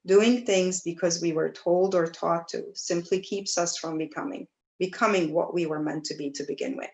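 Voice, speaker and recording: A woman teaching yoga, guiding breathing and meditation in a gentle, soothing way, with pauses between phrases. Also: fairly low pitch, steady tone, muffled recording. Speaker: yoga teacher leading a class. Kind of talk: guided breathwork and meditation instruction.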